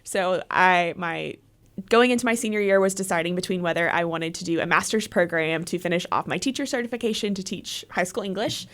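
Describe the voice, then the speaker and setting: A young woman from Texas talking as an interview guest, her voice sitting a little low for a woman. She is chatty and agreeable, filling her sentences with "like" and "um". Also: brisk, fairly bright tone. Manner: friendly, chatty, agreeable, with frequent "like" and "um". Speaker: guest, leadership program coordinator. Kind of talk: casual interview chat.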